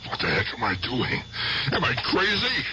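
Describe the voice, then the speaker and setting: An actor playing an aging, guilt-ridden gangster in a radio drama, with rough, halting American speech. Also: male voice, mid-range voice, wildly swooping pitch. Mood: anxious. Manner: rough colloquial speech, halting, muttering to himself. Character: aging guilt-tormented gangster, gruff but fond uncle. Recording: dull, noisy old radio drama recording.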